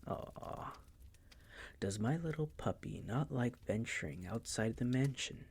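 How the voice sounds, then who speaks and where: A man playing a possessive, suave vampire master in an intimate audio roleplay, hushed and breathy, with a silky, teasing, condescending manner. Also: mid-range voice, lively intonation, unhurried. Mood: mocking.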